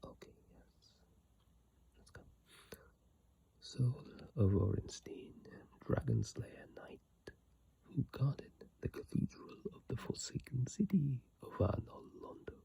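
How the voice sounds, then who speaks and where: An ASMR creator whispering in a breathy, halting, informal way, commenting on games close to a phone mic. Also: mid-range voice, very animated, dry recording. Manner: slow, hushed, halting and informal. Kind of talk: whispered ASMR reading and rambling game commentary. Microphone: iPhone mic, held close.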